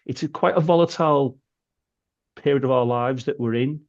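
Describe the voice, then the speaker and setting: A British man co-hosting a podcast, speaking in a thoughtful, halting way with frequent restarts. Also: mid-range voice, muffled recording. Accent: British English. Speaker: podcast co-host. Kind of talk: casual podcast chat.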